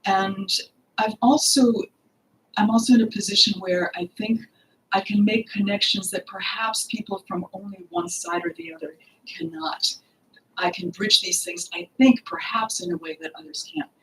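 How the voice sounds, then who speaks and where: An older woman speaks into a podium microphone in a library hall, her voice slightly gravelly. She talks earnestly about her work, stopping often to search for words. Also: mid-range voice. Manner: earnest and expressive, with frequent pauses and fillers. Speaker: author presenting her book. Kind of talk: lecture talk at a book launch. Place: library hall with bookshelves, indoors.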